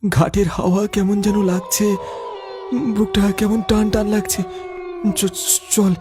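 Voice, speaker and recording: A man's voice: high voice, level tone, a little slow, music in the background. A man voicing a frightened, superstitious young village man in a cartoon, stammering when scared. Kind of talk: cartoon voice acting.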